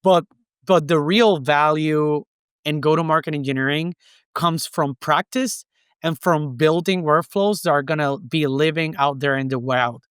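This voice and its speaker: Male podcast guest, Puerto Rican accent, native Spanish speaker, smooth clear tone on the higher side, explaining conversationally. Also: steady tone, a little slow, dry recording, studio quality. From Puerto Rico. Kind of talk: conversational interview, explanatory advice.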